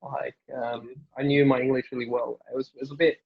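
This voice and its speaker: A young man from Norway, Norwegian native, a family doctor answering interview questions in a relaxed way with many fillers and restarts. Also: medium pitch, a little fast, vocal fry, husky, lo-fi sound.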